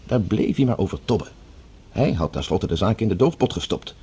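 A reasonable, sceptical radio-drama colleague. His voice is lowish and mellow with animated intonation, arguing calmly and diplomatically. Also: fairly quick, slightly rough, exaggerated voice. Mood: calmly persuasive. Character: reasonable, sceptical male colleague, calm and even-handed arguer. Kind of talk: radio play acting, conversational.

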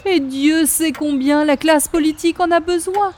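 A woman's voice: sits high, moderate pace, darkish tone, slight background noise, lightly acted. A woman playing a grandiose society lady crusading for culture in a comic radio play, effusive and flowery. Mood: grandiose, earnest. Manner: theatrical, effusive, flowery speech. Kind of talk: comic radio play in 1930s-40s style.

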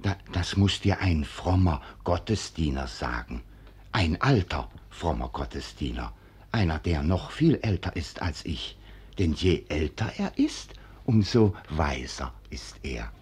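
An actor playing a doddering, doting old nobleman in a 1940s radio play, husky and stammering, evasive with his wife. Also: rumbling low, big pitch swings, unhurried, a little mellow, full character voice. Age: older. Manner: stammering, hesitant.

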